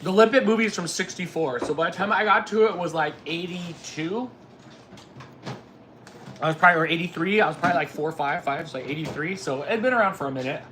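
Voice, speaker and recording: A man's voice: fairly high pitch, fast, bright, forceful tone. A man in his forties hosting a livestream, chatting casually with viewers in a rambling, teasing way, with noise behind him. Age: 40s. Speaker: livestream host. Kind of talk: casual chat with live viewers.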